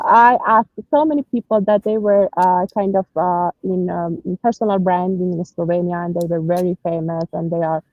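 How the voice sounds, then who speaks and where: An Iranian-accented woman in her thirties talks through her answers with frequent pauses and restarts, earnest and open. Her voice sits at a middle pitch. The sound is dry and a little dull, as if from a modest mic. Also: no echo. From Iran. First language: Persian. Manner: hesitant, many fillers and restarts, earnest. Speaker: guest. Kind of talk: conversational interview.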